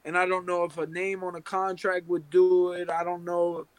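A man's voice: high-pitched, monotone, moderate pace, no echo. A man who fights for a living, chatting in a laid-back, blunt way and swearing freely. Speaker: professional fighter. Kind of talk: casual podcast chat.

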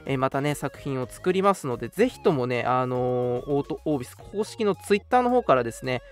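A man's voice: mid-range voice, expressive, brisk, faint music behind. A man hosting a radio show, giving announcements in a polite, chatty way. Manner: polite, chatty, frequent interjected particles. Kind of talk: casual scripted-segment radio announcements.

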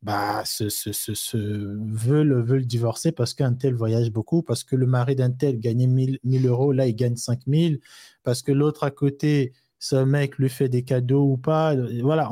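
A man's voice: mid-range voice, steady tone, normal speed, warm tone, no echo, clean studio sound. A man co-hosting a live discussion, talking loosely with many restarts and everyday examples. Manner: rambling, frequent fillers and restarts, explains with everyday examples. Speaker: co-host of a live discussion show.

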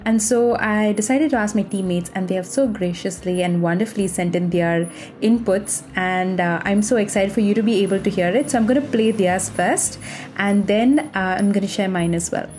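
A woman's voice: mid-range voice, normal speed, a little mellow, soft background music. A woman from India hosting a podcast, talking in a cheerful, chatty way with some fillers. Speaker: podcast host. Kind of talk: casual podcast talk.

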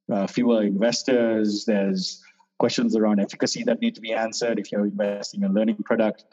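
A man's voice: lowish voice, a little mellow. A man from Sri Lanka, a startup accelerator founder, answering interview questions casually with many fillers and restarts. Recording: dull lo-fi sound.

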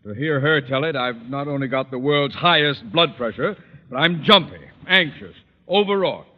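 An actor playing an irritable, pompous middle-aged school principal in an old-time radio comedy, impatient and quick to bluster. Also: male voice, medium pitch, expressive, close to an everyday voice. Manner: pompous, impatient, exploding into outrage. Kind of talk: 1940s-50s radio comedy acting. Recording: muffled, very noisy old radio recording.

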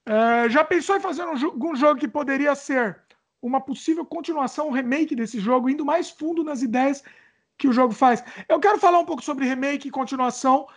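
A chatty woman with a Brazilian accent who hosts the talk and reads viewer questions aloud. She often stumbles over words and laughs them off. Her voice sits high. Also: fairly quick. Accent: Brazilian Portuguese. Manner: chatty, stumbles over reading, jokes about her age. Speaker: host reading viewer questions. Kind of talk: casual chat.

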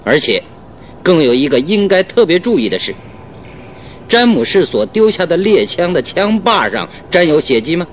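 A confident private detective in a radio play. He lays out his logic in measured steps and rhetorical questions, with expressive rises and falls over background music. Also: a little high, slight background noise. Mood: reasoning. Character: calm, analytical male private detective, confident reasoner. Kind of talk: Mandarin radio drama acting.

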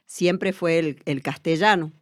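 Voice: mid-range voice, unhurried, no room sound, clean studio sound. A person with an Argentine Spanish accent, speaking as an interview guest in a reflective way, with many fillers and restarts.

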